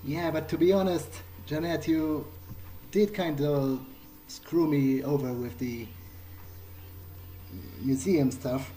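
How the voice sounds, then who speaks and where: A man voicing an uneasy, apologetic vampire in improvised video game roleplay, halting and stammering as he pleads. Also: a little high, steady tone, mellow, soft background music. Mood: hesitant, shaken. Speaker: player acting in character.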